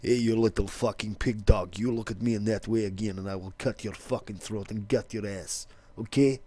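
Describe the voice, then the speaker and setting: A man's voice: on the low side, fairly even intonation, normal speed, mellow, close to an everyday voice. A Welsh-accented man putting on a menacing Russian thug with an exaggerated Russian accent, growling threats.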